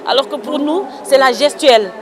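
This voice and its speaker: A woman's voice: high-pitched, normal speed. A woman from Senegal, an author answering interview questions outdoors in a thoughtful, hesitant way with many fillers. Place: outdoors in a town. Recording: crowd noise outdoors. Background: crowd chatter.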